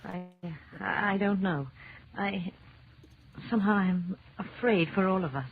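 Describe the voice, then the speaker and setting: An actress playing an anxious, gentle professor's wife in an old-time radio drama, hesitant and pleading. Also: female voice, medium pitch, a little slow, lightly acted. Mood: fearful. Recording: dull, crackly old radio recording.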